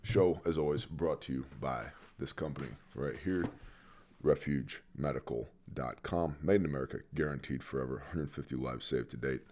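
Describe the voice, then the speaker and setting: A man hosting a news briefing, reading items in a steady tone and cutting in with dry, sarcastic asides. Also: rumbling low, moderate pace. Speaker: news commentary host.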